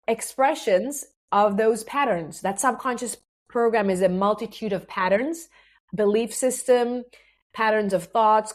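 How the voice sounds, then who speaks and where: A woman's voice: mid-range voice, a little mellow. A woman with an Israeli accent, a native Hebrew speaker, talking earnestly as a podcast guest and explaining ideas through analogies, often restarting phrases and checking in with "right?". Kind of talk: explanatory interview talk.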